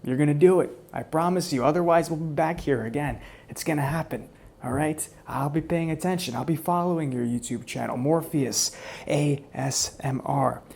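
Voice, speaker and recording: A man doing an ASMR roleplay, speaking softly in a teasing, mock-menacing way and sometimes dropping into whispers. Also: a little high, normal speed, vocal fry. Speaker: ASMR channel creator. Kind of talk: ASMR roleplay, soft-spoken.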